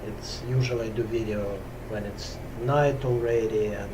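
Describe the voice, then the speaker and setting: A man from Russia speaking English with a Russian accent, talking slowly and hesitantly with many pauses and fillers in a casual spiritual talk to camera. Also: fairly low pitch, fairly even intonation, background noise. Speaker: yoga teacher. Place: top-floor room at home on a hot day.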